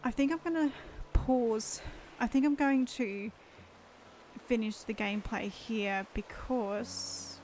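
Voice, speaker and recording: Hoarse woman streaming a game. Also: on the high side, fairly even intonation, slow pace, a little mellow, a little hiss or hum. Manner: rambling, thinks aloud with fillers. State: losing her voice. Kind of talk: casual live-stream gameplay chat.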